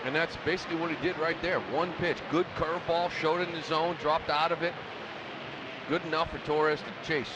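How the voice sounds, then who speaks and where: A man's voice: fairly high pitch, fairly even intonation, brisk, background noise. A man giving informal, conversational color analysis on a live baseball radio broadcast. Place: stadium broadcast booth.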